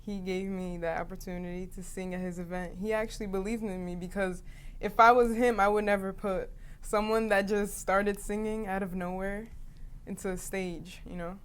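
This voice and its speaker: A woman's voice: mid-range voice, fairly even intonation, moderate pace, smooth. A young American woman, a singer, answering interview questions in a chatty, easygoing way with many "you know"s. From Newark, New Jersey, USA.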